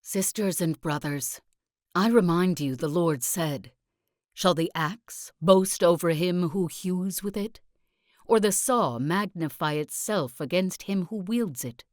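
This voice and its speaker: A woman voicing a zealous self-help guru and sect leader in a video game, speaking in solemn, deliberate oratory with calm conviction. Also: on the low side, no echo, clean studio sound. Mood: fervent preaching. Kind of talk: scripted video game character monologue.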